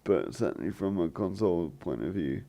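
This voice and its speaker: A man with a croaky, gravelly voice chats loosely over his game footage, stopping and restarting his sentences. His voice is low and dark, and it rises and falls a lot as he rambles. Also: animated, a little fast. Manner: hesitant, many fillers and restarts. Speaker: gaming channel host. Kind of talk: rambling let's play commentary.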